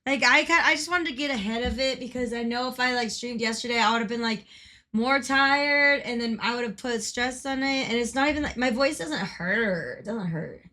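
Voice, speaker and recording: A young adult woman streaming a game, chatting casually with a slightly hoarse voice, laughing often and rambling with lots of fillers. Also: fairly high pitch, moderate pace, pushed and bright. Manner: giggly, rambling, filler-heavy. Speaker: livestreamer. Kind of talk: casual chat while gaming.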